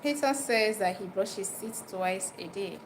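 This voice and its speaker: Nigerian woman livestreaming over clear background noise. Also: medium pitch, a little slow. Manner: repeats phrases and puts questions directly to listeners. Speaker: livestream host giving dental health advice. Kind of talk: informal livestream talk, chatting with viewers. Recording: noisy live stream with background ambience.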